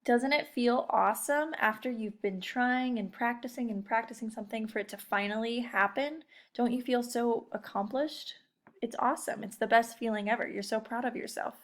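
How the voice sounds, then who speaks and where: A woman's voice: fairly high pitch, moderate pace. A woman teacher giving a casual lesson to young children, encouraging them and addressing them directly with questions. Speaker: elementary school teacher. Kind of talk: casual read-aloud lesson talk to young pupils.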